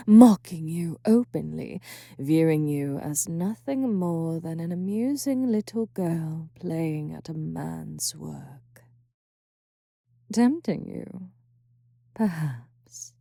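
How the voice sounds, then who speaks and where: Woman doing a slow smoky succubus, dark and breathy, all teasing whispers right up on the mic. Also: lowish voice, no room sound, clean studio sound, full character voice.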